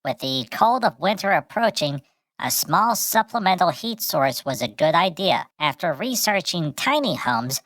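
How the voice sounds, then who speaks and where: Female storyteller for children's tales; lower-pitched voice, very dry close recording, scripted narration. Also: very animated, a little slow, fairly bright tone, no room sound.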